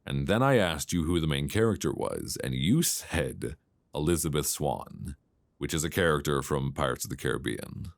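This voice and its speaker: Guy acting as super low voiced boyfriend, fond n teasing, asmr voice message, really expressive pitch, close binaural mic. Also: gravelly, no echo, heavily acted. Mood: playful mock reproach. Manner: intimate, unhurried, softly chuckling, conversational. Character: affectionate, playful, gently teasing long-distance boyfriend. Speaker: audio roleplay performer. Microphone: close binaural microphone.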